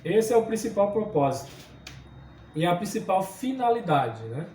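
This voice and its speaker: A man's voice: high voice, big pitch swings, moderate pace, mellow, roomy sound, far from the mic, slight background noise. A man from southern Brazil teaching a Sunday school Bible lesson, earnest and explanatory, often checking in with his listeners. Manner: earnest teaching, frequent tag questions. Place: church room.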